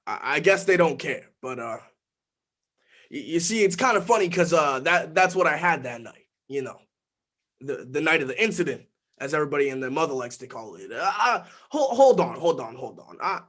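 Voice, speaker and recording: Male actor as a high, bright-voiced, stammering teen boy, volatile and rambling. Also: very animated, moderate pace. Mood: nervous rambling. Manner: stammering, repeats words, filler-heavy. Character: nervous seventeen-year-old boy, rambling and volatile. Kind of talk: dramatic monologue.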